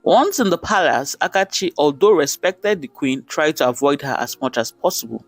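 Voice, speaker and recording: A man's voice: on the high side, expressive, normal speed, no room sound, soft background music. A man with a Nigerian accent narrating a folktale in short, dramatic sentences. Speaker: storyteller.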